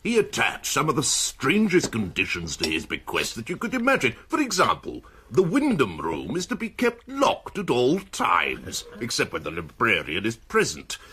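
Wry college don, bright sharp tone, swooping pitch. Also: male voice, huge pitch swings, moderate pace, hardly any pauses, a little hiss or hum.

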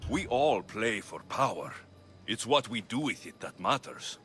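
An actor playing a blunt, skeptical Greek mercenary in video game dialogue, with a dry, challenging manner. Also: male voice, on the low side, animated, a little slow, a bit bright, slight background noise.

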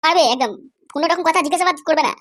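Someone doing a squeaky, cheeky cartoon son. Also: racing, fairly bright tone. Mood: insolent. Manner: taunting, bossy. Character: cheeky, insolent, foul-mouthed grown-up son. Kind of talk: cartoon comedy dubbing.

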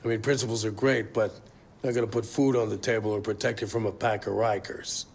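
A man's voice: on the low side, little pitch movement, brisk, slight background noise, natural voice. A man playing a wry, world-weary middle-aged survivor in a video game drama. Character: weary, wry, pragmatic middle-aged male settlement ally.

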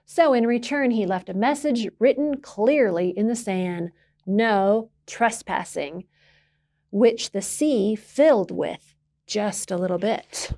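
A woman in storytime mode, reading a picture book to children. She takes her time, stressing key words and pausing for drama, in a medium-pitched voice. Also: lively intonation, warm tone. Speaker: library storytime reader. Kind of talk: expressive children's picture-book read-aloud.

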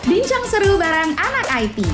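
A woman's voice: very high voice, big pitch swings, normal speed. An Indonesian woman reading a promotional show intro as the announcer. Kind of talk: promotional jingle voice-over. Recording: echoing voice over electronic music.

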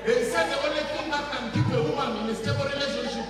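A man doing animated stand-up comedy on a large covered stage, teasing the crowd through a handheld mic, with laughter all around. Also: extremely high pitch, very fast, music in the background. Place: large covered outdoor stage with live audience. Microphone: handheld microphone. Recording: echoey venue sound picked up from far off, loud crowd.

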